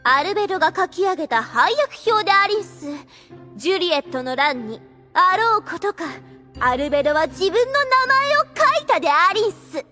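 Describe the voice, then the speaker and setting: A woman's voice: very high-pitched, sing-song, normal speed, bright, forceful tone, soft background music, heavily acted. A woman playing a haughty, jealous vampire girl in an anime audio drama, with quaint courtesan turns of phrase and a theatrical, accusing manner. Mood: accusing, indignant.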